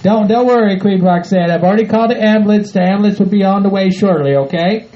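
A woman's voice: mid-range voice, quick pace, dark tone, close to an everyday voice. A woman voicing a formal male servant who keeps reassuring everyone in a stiff, soothing way. The recording sounds dull and lo-fi. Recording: dull lo-fi audio.

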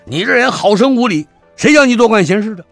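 A spoiled, arrogant young nobleman barks in a shrill, sneering voice, played by a male storyteller, pitched far above an ordinary speaking voice. The delivery swoops up and down with great life, and the sound is dry and close with no room around it. Faint music sits far behind. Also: expressive, fast, vocal fry, dry recording. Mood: indignant.

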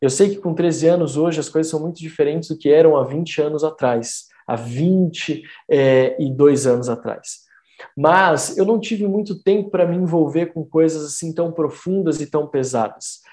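A man's voice: on the high side, moderate pace, dark tone. A man in his thirties, a pastor from Brazil, preaching an earnest, conversational sermon with frequent fillers. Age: 30s. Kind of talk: online testimony sermon.

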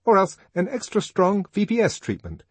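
A man voicing a hearty, nosy, solicitous suitor in an audiobook, brisk and inquisitive. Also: high voice, huge pitch swings, husky, dry recording, voice pushed up, full character voice. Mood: hearty, concerned. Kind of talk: audiobook character acting.

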